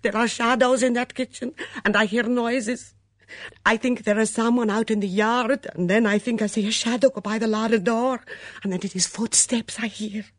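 Storybook foreign maid, acted by a woman: raised pitch, forceful, fearful and defiant, broken English with a foreign accent. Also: no room sound, studio quality, close to an everyday voice. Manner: emphatic, dramatic declarations. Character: frightened, melodramatic foreign maid, indignant and stubborn.

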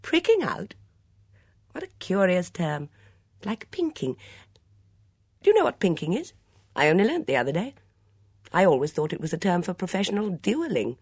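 A woman's voice: fairly low pitch, sing-song, normal speed, long pauses, darkish tone, breathy edge, no echo, clean studio sound, pitched above the performer's own voice, heavily acted. A woman voicing a glib, teasing young man in love, with flowery, mock-formal speech in an audiobook story. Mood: playful, teasing.

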